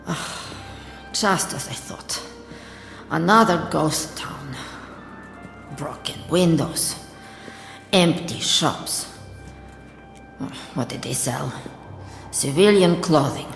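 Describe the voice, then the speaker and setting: In a reverberant space with music underneath, a hungry, scornful woman soldier. She is the lone survivor of a war, musing to herself in fragments as in a radio play, at a slow pace. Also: sits low, gravelly, heavily acted. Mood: wary, scornful. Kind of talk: dramatic audio drama monologue.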